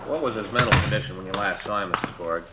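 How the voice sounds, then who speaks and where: An actor playing a plain-spoken, slightly grumbling police detective in an old radio drama, with a casual, routine manner. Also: male voice, fairly low pitch, racing, natural voice. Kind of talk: 1950s radio drama acting. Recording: dull, faintly noisy old radio recording.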